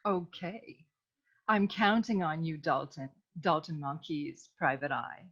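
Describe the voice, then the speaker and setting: A woman's voice: deep voice, animated, slow, fairly bright tone, breathy edge, heavily acted. A woman acting a melodramatic young damsel client in a comic noir radio serial, earnest and sweet, on a slightly lo-fi recording. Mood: grateful, swooning. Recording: slightly dull, lo-fi microphone.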